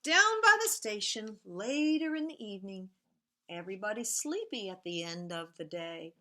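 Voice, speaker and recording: Bright-voiced woman reading a storybook to children. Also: mid-range voice, normal speed. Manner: animated and sing-song, drops to hushed, whispery repeated sound words; addresses young listeners directly, pointing out pictures. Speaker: teacher reading picture books aloud to young children. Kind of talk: expressive read-aloud of children's storybooks, with questions to listeners.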